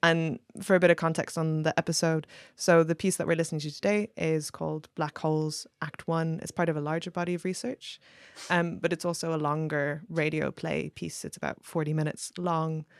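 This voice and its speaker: A woman's voice: deep voice, little pitch movement, a little fast, hardly any pauses, clean tone, no echo. Woman podcast host, dry close sound. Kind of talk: conversational podcast introduction.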